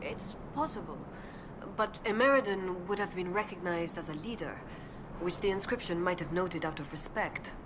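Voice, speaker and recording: A woman's voice: fairly low pitch, moderate pace, background noise, lightly acted. An actress playing a young female elven research assistant in a video game, earnest and determined, speaking in a precise, scholarly way. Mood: thoughtful, explanatory. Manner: precise, scholarly, thoughtful delivery.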